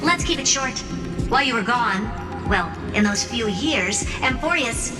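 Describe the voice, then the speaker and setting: High, imperious genius scientist. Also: female voice, animated, a little slow. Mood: brisk, impatient. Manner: urgent, bossy, clipped commands. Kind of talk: dramatic video game acting. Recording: distant, echoey, loud music behind.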